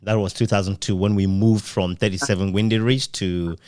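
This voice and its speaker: A man's voice: on the low side, steady tone, a little fast, darkish tone, no echo, clean studio sound. A man speaking as an interviewer in a casual conversation.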